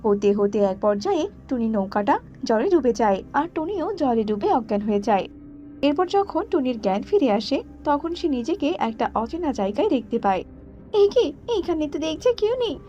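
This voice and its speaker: High-pitched woman narrating a children's fairy tale. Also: sing-song, normal speed, mellow. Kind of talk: scripted children's story narration. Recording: slightly dull audio with background music.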